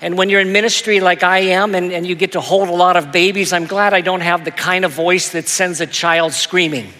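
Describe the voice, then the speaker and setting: A male pastor telling a story in a sermon, with a soft, soothing voice and a gentle, self-deprecating manner that stumbles into little repeats. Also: high voice, fairly even intonation, brisk. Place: church auditorium. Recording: long hall reverb.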